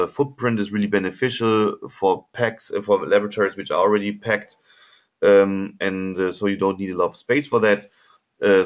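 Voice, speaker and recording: German-accented man presenting a webinar. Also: lowish voice. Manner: hesitant, many ums and restarts. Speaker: product specialist presenting a webinar. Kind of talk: webinar presentation over slides. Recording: slightly dull lo-fi webinar audio.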